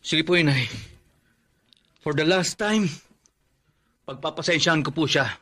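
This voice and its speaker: A man's voice: fairly high pitch, a little slow, bright, forceful tone. An actor playing a principled, indignant young Filipino man and protective son in a radio drama, firm and earnest.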